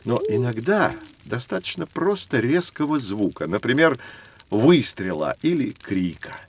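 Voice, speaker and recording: An actor playing a patient teacher who explains things expressively to children in a scripted educational audio drama. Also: male voice, mid-range voice, a little slow. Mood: explaining. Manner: didactic, expressive. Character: patient adult male teacher guiding curious children.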